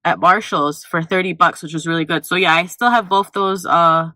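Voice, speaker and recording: A woman's voice: fairly low pitch, normal speed, pushed and bright. Congested young woman rambling in a car. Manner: rambling, self-correcting, many fillers. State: congested, stuffy nose. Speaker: vlogger. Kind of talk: casual vlog chat. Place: parked car.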